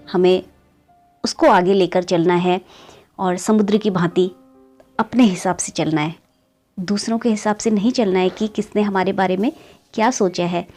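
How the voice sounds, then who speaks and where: Woman telling a moral story to friends. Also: darkish tone. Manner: keeps calling listeners "friends", pitch rising on story beats. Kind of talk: conversational moral story narration.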